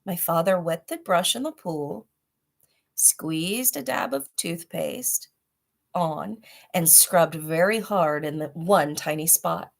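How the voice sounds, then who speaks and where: A woman narrating a children's story in an expressive read-aloud style. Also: on the low side, slow, creaky. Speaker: storybook reader.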